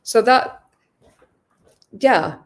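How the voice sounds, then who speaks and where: A woman's voice: on the high side, expressive, drawn-out words. A young woman from Finland, a podcast guest, talking casually and thoughtfully with many restarts. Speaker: podcast guest, long-distance cyclist. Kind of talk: casual interview chat.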